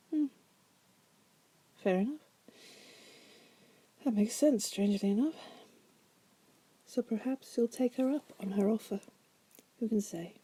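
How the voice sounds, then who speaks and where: A woman's voice: a little high, slightly rough, poor audio. A woman playing a wry, seductive demon prisoner in an ASMR roleplay, often whispering, with a teasing, musing manner. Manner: teasing, musing, drawling asides with soft chuckles. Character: wry, seductive female demon prisoner, patient deal-maker.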